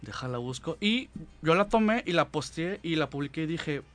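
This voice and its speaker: A man in his thirties with a Mexican accent, telling stories as a guest in a casual chat, animated and rambling. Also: fairly high pitch, normal speed, faint music behind. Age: 30s. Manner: animated, rambling, filler-heavy. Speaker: guest, hobby photographer. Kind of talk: casual storytelling.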